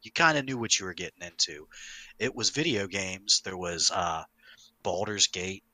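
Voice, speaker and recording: Bright, forceful low-voiced man, casually emphatic. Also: normal speed, no room sound. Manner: emphatic, sweary, animated explainer. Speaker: podcast host, longtime game master. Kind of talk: casual podcast discussion.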